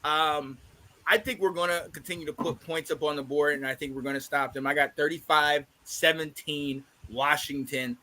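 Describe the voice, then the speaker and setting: A man hosting a casual football podcast, stuffed up, energetic and laughing often. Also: a little high, moderate pace, slight background noise. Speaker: podcast host. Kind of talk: casual sports podcast chat.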